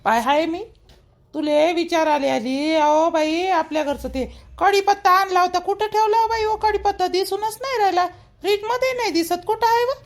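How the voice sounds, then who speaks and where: A woman's voice: very high-pitched, normal speed. A woman with a Vidarbha Marathi accent playing a caring, fussy mother-in-law in a village cartoon, insistent and repeating herself in a homely way. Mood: fussy, coaxing.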